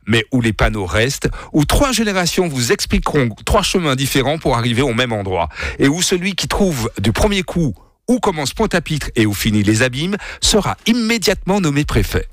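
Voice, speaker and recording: A man's voice: mid-range voice, dry recording, studio quality. A man hosting a morning radio show, joking and playing it up theatrically. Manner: playful, theatrical delivery. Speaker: radio host.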